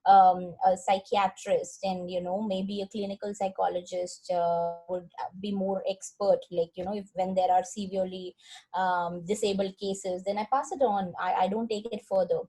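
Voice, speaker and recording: A woman talks as an invited expert on an online call. She explains in spoken, unscripted sentences and often checks that she is understood. Her pitch is average for a woman. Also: level tone, normal speed, dark tone, rough recording. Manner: explanatory, often checks with "right?" and "you know". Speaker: psychologist, invited guest speaker. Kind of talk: explanatory talk in an online Q&A session. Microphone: online group call.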